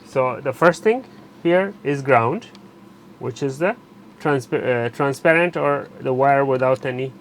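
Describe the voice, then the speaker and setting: A man narrating a hands-on repair tutorial while he works, pausing and correcting himself as he goes. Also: mid-range voice, a little hiss or hum. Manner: unscripted, pauses and self-corrects while working. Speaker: electronics repair instructor.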